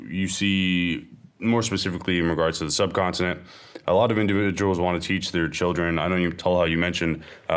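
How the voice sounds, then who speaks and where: A man with a very deep, low voice talks through his views in a relaxed podcast panel. He hedges often and pauses on fillers as he works out his points. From South Asia. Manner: thoughtful, hedging with fillers. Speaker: podcast co-host. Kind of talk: casual panel discussion.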